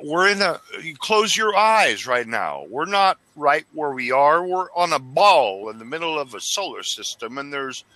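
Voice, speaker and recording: A man in his forties from Arizona speaks fervently into a headset mic, his thoughts tumbling out and his words stumbling. His voice swings lively in pitch and sounds bright and forward. The sound is dry and close. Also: moderate pace, hardly any pauses, no echo. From Arizona, United States. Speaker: caller. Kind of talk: casual religious video chat. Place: small dim room indoors.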